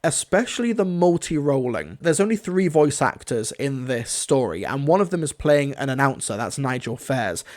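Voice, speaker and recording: A man's voice: medium pitch, very animated, normal speed, hardly any pauses, darkish tone. A man giving a casual livestream review in an enthusiastic, conversational way, repeating words for emphasis.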